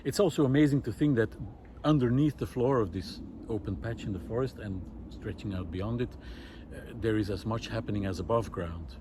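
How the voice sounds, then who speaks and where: A man's voice: lowish voice, a little fast, warm tone, slight background noise. A man giving an unscripted, reflective monologue outdoors in a wooded park, speaking in a thoughtful, hesitant way. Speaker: vlogger.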